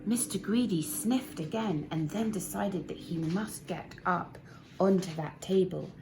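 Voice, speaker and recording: A woman narrating a children's story expressively, with deliberate, dramatic emphasis. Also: on the low side. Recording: noisy amateur recording, clear background noise.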